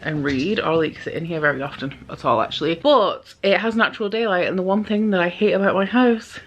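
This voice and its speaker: A woman's voice: lowish voice, a little fast, a little hiss or hum. A young woman chatting casually at home about the book she is reading, filling her talk with "like" and speaking candidly. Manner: chatty, lots of "like" fillers, candid and blunt. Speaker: book vlogger. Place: at home, small room.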